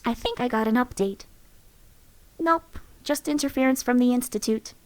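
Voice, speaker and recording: A woman's voice: on the high side, moderate pace, lightly acted. Woman voicing a wry, thoughtful companion. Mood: wry musing. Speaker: voice actress for a game companion mod.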